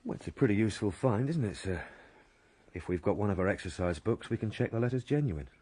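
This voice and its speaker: An actor playing a deferential, plain-speaking police sergeant in a radio drama, modest and questioning. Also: male voice, fairly low pitch, expressive, quick pace, dark tone, breathy edge. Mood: eager, deferential. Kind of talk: British radio drama acting.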